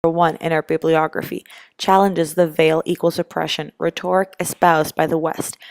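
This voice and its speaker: A young woman narrates formally from a script. Her voice is slightly low with vocal fry, recorded close and dry. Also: no echo. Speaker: student documentary narrator.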